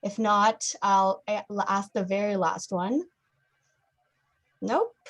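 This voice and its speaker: A woman's voice: medium pitch, steady tone, takes it slowly. A young woman moderating a student Q&A, speaking informally with stumbling restarts. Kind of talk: casual moderating.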